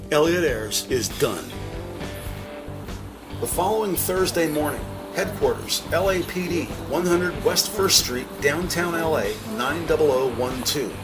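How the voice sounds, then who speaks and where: Guy narrating a police audio drama, reads scripted scenes, voice a bit high, music going behind him. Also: moderate pace. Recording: prominent music under the voice.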